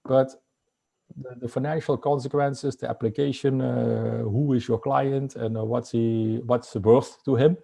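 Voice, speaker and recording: Mellow-voiced Dutch man, halting. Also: mid-range voice, steady tone, vocal fry. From Netherlands. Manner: hesitant, many fillers and restarts. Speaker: guest entrepreneur. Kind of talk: casual interview talk.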